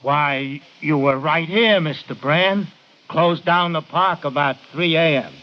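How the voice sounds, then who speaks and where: Slangy, higher-voiced carnival henchman. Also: slow. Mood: obliging. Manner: slangy, casual, deferential. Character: obliging male carnival barker, crooked henchman. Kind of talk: old-time radio crime drama acting. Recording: dull vintage radio recording.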